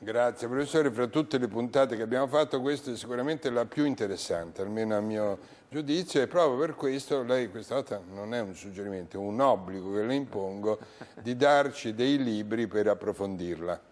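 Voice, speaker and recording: A man hosting a history show in a TV studio, speaking in a measured, formal way. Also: medium pitch, darkish tone. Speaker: TV show host. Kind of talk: hosting, scripted closing narration. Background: music.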